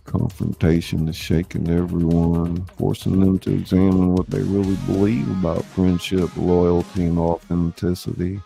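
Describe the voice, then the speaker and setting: Low, gravelly male narrator. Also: monotone, normal speed, hardly any pauses, mellow, soft background music. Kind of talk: scripted narration of stage directions.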